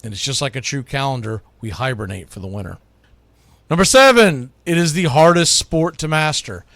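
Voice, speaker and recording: A man hosting baseball radio, delivering an opinionated countdown monologue with emphatic, punched phrases and dramatic pauses. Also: mid-range voice, lively intonation, gravelly, a bit bright, dry recording. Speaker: baseball radio host and play-by-play announcer.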